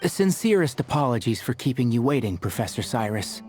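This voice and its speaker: Man acting a courteous young man, lively pitch. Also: a little fast, soft background music, lightly acted. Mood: apologetic. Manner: courteous, deferential. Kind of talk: video game story dialogue.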